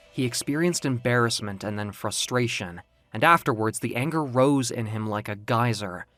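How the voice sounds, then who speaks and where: A man's voice: medium pitch, fairly bright tone. A man reading scripted, dramatic fiction as the narrator of an audio story.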